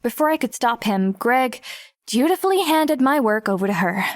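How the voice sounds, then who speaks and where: A woman's voice: on the high side, expressive, moderate pace, no echo, clean studio sound, lightly acted. An actress playing a wry, self-conscious teenage girl narrating her own story in an audiobook. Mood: tense. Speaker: lead narrator. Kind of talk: first-person audiobook narration.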